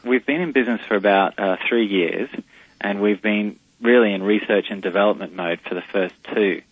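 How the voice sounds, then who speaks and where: A man's voice: on the low side, normal speed, husky, dry recording. A man with an Australian accent, a company CEO interviewed over the phone on a radio show, talking in a hesitant way with many fillers and restarts. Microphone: telephone line. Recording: muffled lo-fi phone line.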